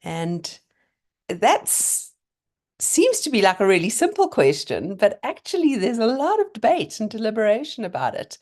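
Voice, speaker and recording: A middle-aged woman, a little hoarse, giving an online seminar in a chatty, encouraging way over a video call. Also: medium pitch, very animated, normal speed, darkish tone. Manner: chatty, encouraging, thinking aloud with fillers and restarts. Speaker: lecturer presenting a webinar.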